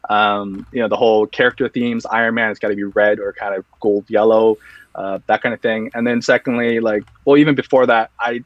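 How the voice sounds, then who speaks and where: A low-pitched man chatting casually as an interview guest, stumbling over restarts and tossing in fillers. His voice comes over dry, muffled call audio with no room sound. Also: steady tone, no echo. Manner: quick, loose, many restarts and fillers. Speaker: guest, EDC accessory reviewer.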